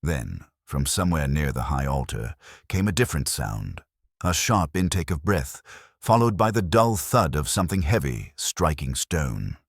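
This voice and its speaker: A man reading a scripted mystery story as an audiobook narrator, in a measured, literary and dramatic storytelling manner. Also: a bass voice, very animated, slightly rough, dry recording, clean studio sound.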